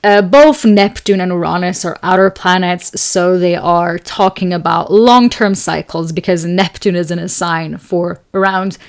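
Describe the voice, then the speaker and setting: This is a young woman from Switzerland hosting her astrology show. She chats casually, wandering between topics and filling gaps with "like" and "you know". Her voice is a little raspy and tired after long hours of talking. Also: on the low side, measured pace, few pauses. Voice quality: slightly raspy. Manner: chatty, rambling, many fillers and laughs. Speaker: astrology podcast host. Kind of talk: casual solo talk and storytelling.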